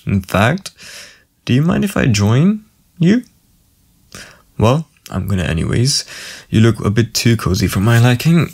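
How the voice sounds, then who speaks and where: A man playing a friendly, gentle sleep paralysis demon in a casual, soothing, reassuring ASMR boyfriend roleplay. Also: mid-range voice, very animated, a little slow, gravelly, pauses often, husky, heavily acted. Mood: playful, cozy.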